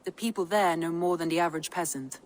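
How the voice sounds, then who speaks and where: Woman playing composed low-voiced advisor. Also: darkish tone, natural voice. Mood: calm, advising. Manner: measured, formal. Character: composed, shrewd female advisor. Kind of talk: video game voice acting.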